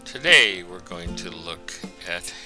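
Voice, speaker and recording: A man slowly and deliberately introducing the show. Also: low-pitched, expressive, pushed and bright, faint music behind. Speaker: show host.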